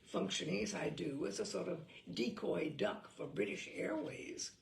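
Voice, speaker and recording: A woman's voice: on the low side, normal speed, vocal fry, reverberant. A woman reading humorous essays aloud with dry, wry expressiveness. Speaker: reader.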